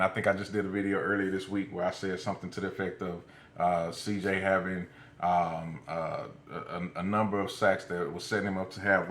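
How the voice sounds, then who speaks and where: A slightly hoarse, raspy man giving casual, colloquial post-game football commentary as a fan vlogger. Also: low voice, fairly bright tone. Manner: colloquial, often punctuates with "man", chuckles. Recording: roomy echo, light background noise.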